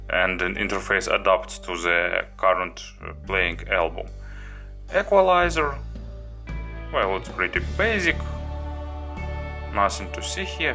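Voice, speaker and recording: Deep, slow-paced man reviewing a gadget. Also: sharp tone. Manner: halting, listing items one by one. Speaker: product reviewer, host. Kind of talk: unscripted product review walkthrough.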